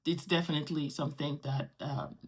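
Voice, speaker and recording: A woman's voice: low-pitched, fairly quick, darkish tone, slightly rough. A middle-aged woman from Morocco whose first language is French, talking conversationally in a casual solo vlog as its host, often repeating phrases for emphasis.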